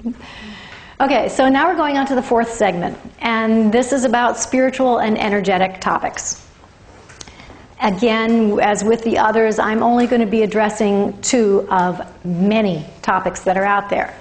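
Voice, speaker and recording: A woman in her fifties giving an informal lecture to a class, measured and explanatory with deliberate pauses. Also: fairly high pitch, steady tone. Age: 50s. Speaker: workshop teacher, holistic health practitioner.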